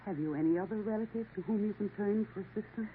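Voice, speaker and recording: An actress playing a stern, solemn elderly Mother Superior in a 1950s radio drama, measured and formal. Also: mid-range voice, lightly acted. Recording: tinny old radio broadcast, faint hiss.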